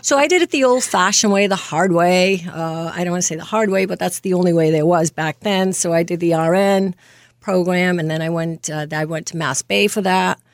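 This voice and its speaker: A woman in her fifties from Greece, a nurse practitioner telling her story as an interview guest, earnest and quick to laugh. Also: lowish voice, no echo. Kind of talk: casual interview.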